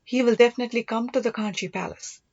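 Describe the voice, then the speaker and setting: A woman's voice: fairly high pitch, steady tone, fast talker, darkish tone, highs cut off. A woman voicing a devoted, earnest young prince and older brother in an audiobook, reassuring and teasing. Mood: curious.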